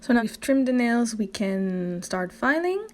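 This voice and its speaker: A woman's voice: a little high, very animated, unhurried, soft background music. A young woman from the Netherlands with a Dutch accent, chatting casually through a nail tutorial voice-over, with many ums. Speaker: beauty vlogger.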